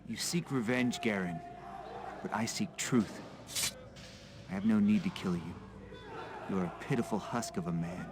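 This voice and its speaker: An actor playing a frank, sardonic, determined young warrior hero in a video game. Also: male voice, fairly low pitch, lively intonation, normal speed, long pauses, noisy background. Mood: stern. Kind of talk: dramatic video game dialogue.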